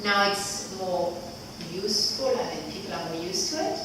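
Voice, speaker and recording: A Swiss woman in her forties with a French accent speaks casually to a group, rambling with loose fillers. Her voice is picked up from a distance in a very echoey room. Steady ambient noise sits under her words. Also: mid-range voice, moderate pace. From Switzerland. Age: 40s. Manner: chatty and loose, many "like" fillers. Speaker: workshop facilitator.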